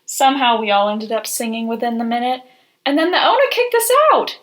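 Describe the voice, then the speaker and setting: A woman's voice: a little high, big pitch swings, fairly quick, a bit bright. A woman playing a chatty, scatterbrained aunt who rambles through gossip in a radio drama. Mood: gossipy, excited. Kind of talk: scripted radio drama acting.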